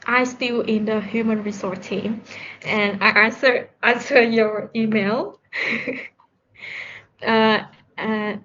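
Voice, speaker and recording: A woman's voice: on the high side, fairly even intonation, slow pace, pauses often, fairly bright tone, highs cut off, a little hiss or hum. A woman, a student and volunteer, speaking hesitantly in casual chat with many fillers.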